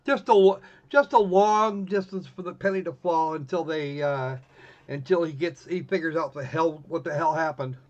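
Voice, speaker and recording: High-voiced man streaming, dull lo-fi sound. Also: normal speed. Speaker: game streamer, host. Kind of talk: casual live commentary on a visual novel, reading text aloud.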